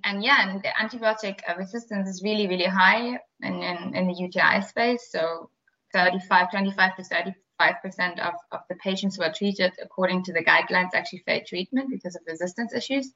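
A woman's voice: medium pitch, brisk, bright, forceful tone, lo-fi sound. A woman in her thirties from Germany with a German accent, explaining things in an interview with many fillers and repeated words. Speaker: guest, biotech founder. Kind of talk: interview answers.

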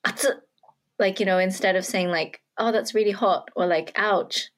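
A woman in casual podcast chat, talking in halting bursts with frequent restarts. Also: medium pitch.

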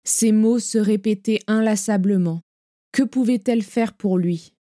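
A woman narrates an audio drama in a measured, solemn way. Her voice sits at a middle pitch, and clear piano music plays beneath her. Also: warm tone, no echo, clean studio sound.

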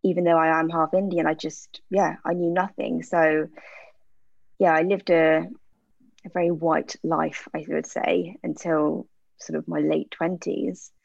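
A woman's voice: sits low, flat delivery, a little slow, vocal fry, dry recording. A woman, chatting candidly in an interview with frequent fillers. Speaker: interview guest, aesthetic practitioner. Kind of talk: casual interview answers. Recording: dull lo-fi sound.

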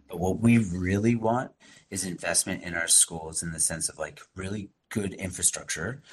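Podcast guest: man with a very low voice, an emphatic teacher who keeps explaining, over faint background noise. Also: a bit bright. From Massachusetts. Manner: emphatic, explanatory, fillers like "right?" and "like". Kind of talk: conversational podcast interview.

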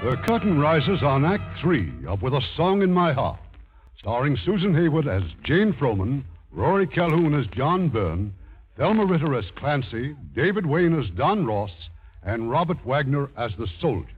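A man's voice: on the high side, very animated, moderate pace. A man with an American accent making formal 1950s radio drama announcements. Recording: tinny 1950s radio broadcast over music.

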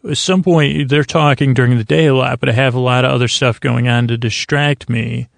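Male podcast host, gravelly fry, fairly even pitch, halting and circular bedtime rambling. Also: no echo. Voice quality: creaky voice. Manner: meandering, halting, circles back on himself. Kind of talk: rambling bedtime-story podcast intro monologue.

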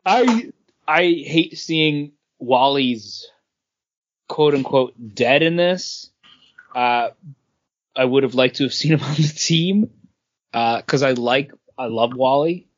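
Slow-paced man, slightly muffled recording. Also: mid-range voice, lively intonation. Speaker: comics podcast co-host. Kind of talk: casual chat reviewing comics. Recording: dull lo-fi sound.

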